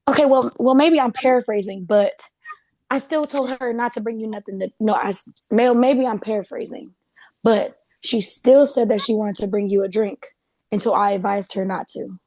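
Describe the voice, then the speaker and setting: A woman's voice: on the high side, normal speed, darkish tone. A woman in a table read playing a gossipy, conversational female office assistant in a drama. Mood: defensive, gossipy. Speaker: actor in a script table read. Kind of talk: dramatic script reading.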